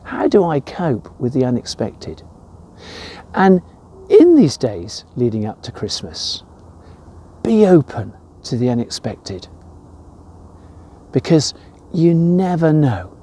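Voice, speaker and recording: A man's voice: on the high side, huge pitch swings, slow pace, long pauses, mellow, breathy edge. An older man with an English accent giving an informal devotional talk in an emphatic way, with personal anecdotes. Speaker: church devotional presenter.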